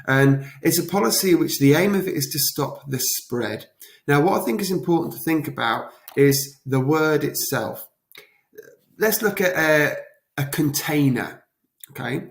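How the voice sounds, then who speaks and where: A man giving an explanatory revision lesson as a teacher, talking in a deliberate, emphatic way with rhetorical check-ins. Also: on the high side, animated, gravelly. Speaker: history teacher. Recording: echoey, roomy sound.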